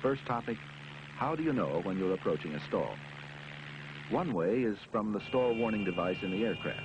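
An American man with a deep voice narrates an instructional film. His delivery is emphatic and his intonation rises and falls in a lively way. The sound is an old 1970s film track with clear noise behind the voice. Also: normal speed, dull audio.

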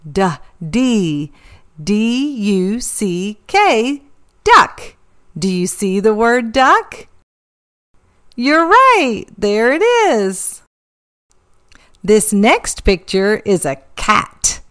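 A middle-aged woman hosting a read-aloud for young children, speaking in an animated, carefully enunciated teaching style. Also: mid-range voice. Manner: animated, slow, careful enunciation, sounding out and spelling words. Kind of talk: storybook reading and interactive teaching for young children.

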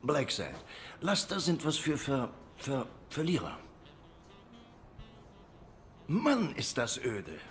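Animated, self-righteous dog policeman. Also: medium pitch, takes it slowly, slight background noise. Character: bored, self-righteous dog policeman, chatty sports fan. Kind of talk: video game voice acting, film noir.

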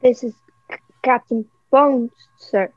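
Young woman doing polite nervous boy, stammery, high pitched, muffled cheap recording, radio play. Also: slow pace. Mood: timid. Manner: stammering, deferential. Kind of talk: amateur radio play acting. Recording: dull lo-fi remote home recording.

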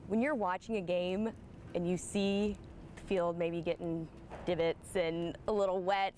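A woman's voice: fairly low pitch, measured pace, a little hiss or hum. Upbeat young woman reporter outdoors. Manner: upbeat and lively. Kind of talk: sports feature interview. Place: outdoors in a large empty stadium. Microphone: lapel mic.

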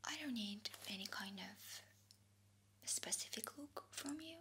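A woman in her twenties whispering gentle instructions in an ASMR personal-attention role-play, close to the microphone. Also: mid-range voice, expressive, very noisy. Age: 20s. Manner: soft, slow, gentle instructions with pauses. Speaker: ASMR creator, sketch artist role-play. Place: indoor studio with plain dark backdrop.

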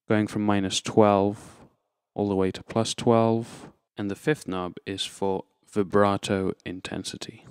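A man's voice: on the low side, smooth, no echo, clean studio sound. A man giving an informal, unscripted tutorial, explaining things step by step as a presenter. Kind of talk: informal instructional demonstration.

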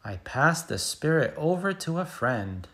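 Man reading as an excited schoolgirl, slow and expressive. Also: fairly high pitch, heavily acted. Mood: eager, upbeat. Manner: expressive, emphatic sound-effect words. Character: excited little schoolgirl telling her first day. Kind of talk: picture book read-aloud.

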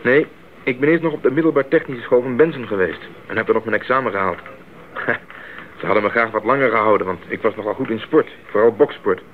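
A man's voice: mid-range voice, quick pace, lightly acted. An actor playing an idealistic, eager young male missionary in a 1980s radio drama, speaking earnestly and articulately. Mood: cheerful. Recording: narrow-band 1980s radio recording, faint noise.

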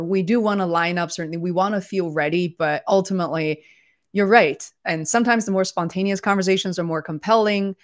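A woman hosting a relaxed interview from a home studio, speaking into a desk microphone on a video call. Her voice sits a little low. She asks open questions and keeps her guest going with frequent encouraging murmurs. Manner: warm encouraging listener, many "mm-hmm" cues. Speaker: interview host. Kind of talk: casual interview chat.

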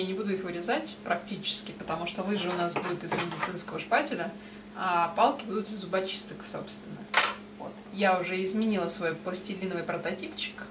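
Woman, 30s, craft vlog host, friendly and chatty, heard from across a noisy room. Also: mid-range voice. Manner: friendly, chatty, addresses viewers directly. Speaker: woodcarving channel host. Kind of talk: casual craft vlog talk. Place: cluttered home workshop, indoors. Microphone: camera mic at a distance. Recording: roomy, distant, noisy workshop audio.

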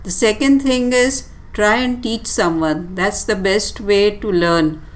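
A woman teacher giving a step-by-step tutorial explanation in a deliberate, instructive way with short, pausing phrases. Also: mid-range voice. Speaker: physics teacher. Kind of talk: step-by-step tutorial explanation over handwritten working.

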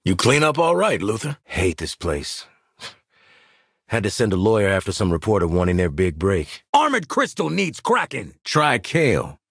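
A tough video game mercenary sniper, with a forceful, gravelly voice that sits fairly high and swings widely in pitch. He talks with cocky, streetwise swagger and wry, slangy profanity. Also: wildly swooping pitch, normal speed, no room sound, clean studio sound, full character voice. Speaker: game character voice actor. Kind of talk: short video game combat and banter lines.

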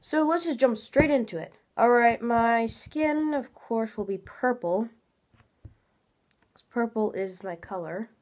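A woman's voice: fairly high pitch, a little slow, darkish tone. Teenage girl, congested, slightly nasal, casual rambling, many fillers, home webcam. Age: teens. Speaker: gaming channel host. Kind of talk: casual gameplay commentary. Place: small room at home, indoors.

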